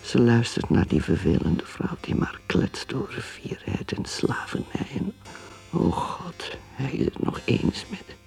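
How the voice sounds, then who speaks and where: A woman's voice: very deep voice, very animated, a little slow, creaky, warm tone, faint music behind, heavily acted. An actress playing a bitter, ambitious middle-aged mother who drinks, in an intense, dramatic radio play style. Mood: hurt brooding. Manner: intense, dramatic, swinging between speech and whispered brooding. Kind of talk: radio drama acting, dialogue and inner monologue.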